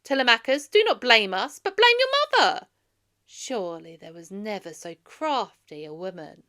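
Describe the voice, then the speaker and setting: An arrogant male suitor played by a woman reader scoffs and lays blame in a storybook tale. The manner is taunting and defiant, with a bright, forceful tone and swooping pitch. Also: sing-song, normal speed. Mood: accusing.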